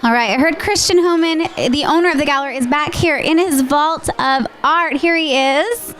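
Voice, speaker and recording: Brief: high-pitched woman, cheerful TV host, light music under her. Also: normal speed, a bit bright. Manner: upbeat, chatty, affirming. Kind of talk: TV interview.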